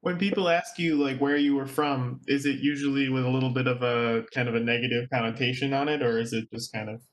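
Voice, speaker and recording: A young American man co-hosting a podcast, talking in a relaxed, hesitant way with many fillers. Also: mid-range voice, quick pace. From United States (born Virginia). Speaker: podcast co-host. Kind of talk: casual podcast chat.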